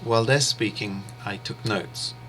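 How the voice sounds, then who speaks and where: A man, a native English speaker, teaches an online interpreting lesson in a measured, patient way. His voice sits low yet sounds bright and forward. He pauses often and fills gaps with small ums and uhs. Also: a little hiss or hum. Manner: measured, steady explaining with frequent pauses and fillers. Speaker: interpreting instructor. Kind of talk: explanatory online lecture.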